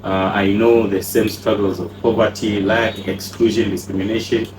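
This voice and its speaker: A calm, reflective man with a low voice speaks in measured sentences as a guest. His voice rings in an echoey room, with steady noise behind him. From Uganda. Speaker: pharmacist and company founder, guest. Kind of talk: casual interview answers. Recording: echoey room, noisy background.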